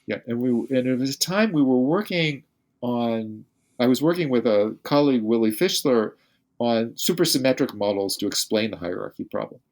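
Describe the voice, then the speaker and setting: Older male academic guest, mid-pitched, halting delivery full of restarts. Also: animated, a little mellow. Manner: halting, many restarts and repeated words. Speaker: physicist guest. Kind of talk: unscripted podcast interview.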